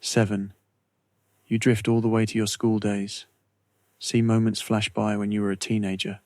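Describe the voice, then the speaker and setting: A man narrating a scripted guided meditation in a slow, measured, soothing way with long pauses. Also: lowish voice, little pitch movement, darkish tone, no room sound.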